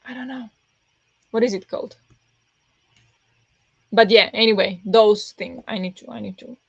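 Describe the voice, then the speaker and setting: Woman rambling on a book stream, pressed kinda raspy voice, dull lo-fi sound. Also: mid-range voice, moderate pace, no echo. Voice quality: pressed voice that turns raspy easily. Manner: rambling, with many fillers and restarts. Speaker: book streamer hosting reading sprints. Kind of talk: casual livestream chat with viewers.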